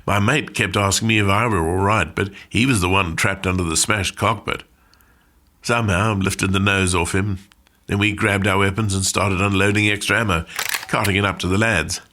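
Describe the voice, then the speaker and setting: A man's voice: sits low, fairly even intonation, moderate pace, pushed and bright. An actor playing a modest, wry British airborne veteran telling his war story in understated, clipped phrases for a radio play. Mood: sombre. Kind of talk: dramatic monologue for a radio play.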